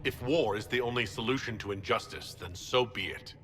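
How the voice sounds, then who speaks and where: An actor playing an earnest, principled fantasy game hero, speaking in a serious, deliberate way. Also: male voice, on the low side, sharp tone. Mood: firm. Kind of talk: video game dialogue acting. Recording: long hall reverb.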